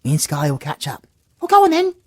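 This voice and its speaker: A man's voice: on the high side, huge pitch swings, fast, darkish tone. A man playing a brash, joking young adventurer with a British accent, chatty and slangy in manner. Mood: playful. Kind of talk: audio roleplay acting.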